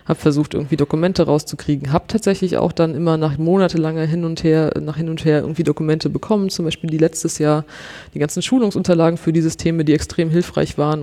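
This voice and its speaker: A man's voice: on the high side, warm tone. A man, an investigative journalist, talking casually in an interview with lots of fillers and a wry tone. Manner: chatty, many fillers like irgendwie and so, wry.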